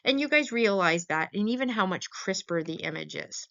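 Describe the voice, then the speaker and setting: A woman's voice: medium pitch, lively intonation, normal speed, creaky, a bit bright. A woman teaching as a lecturer in an informal, conversational way, often checking in with her students through short questions. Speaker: lecturer teaching veterinary radiography. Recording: dull, lo-fi voice-over recording.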